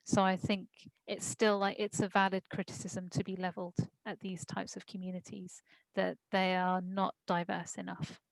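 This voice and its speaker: Measured woman, dry sound. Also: medium pitch, flat delivery, creaky, no room sound, poor audio. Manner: thoughtful, measured, with frequent ums. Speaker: conference speaker. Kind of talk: Q&A discussion.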